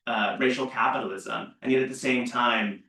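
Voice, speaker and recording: A man's voice: medium pitch, fairly even intonation, moderate pace, sharp tone. A man in a seminar audience asking a long academic question, hesitant, with many fillers and restarts. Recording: roomy seminar room, far from mic.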